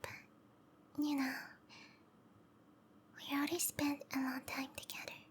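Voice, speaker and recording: A woman voicing a sweet, obsessive snake-woman lover in a whispered ASMR roleplay, speaking softly and tenderly with intimate, lingering phrasing. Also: high-pitched, completely flat delivery, slow. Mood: adoring. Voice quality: soft, breathy whisper.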